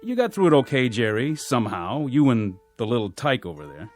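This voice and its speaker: Actor as a blunt, animated male talent agent. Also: mid-range voice, fairly quick, soft background music, close to an everyday voice. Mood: reproachful. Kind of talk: radio play acting.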